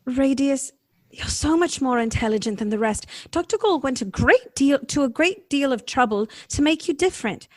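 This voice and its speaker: Woman acting an earnest, high-voiced troubled wife. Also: animated, moderate pace, darkish tone, no echo. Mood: pleading. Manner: formal, earnest. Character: earnest, compassionate, troubled wife, gently questioning. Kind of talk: radio drama acting.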